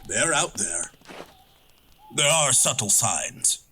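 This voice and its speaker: A man playing a proud, guarded wilderness guide in an audio drama, laconic, stoic and measured. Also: medium pitch, sing-song, bright, forceful tone, slight background noise, full character voice. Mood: matter-of-fact.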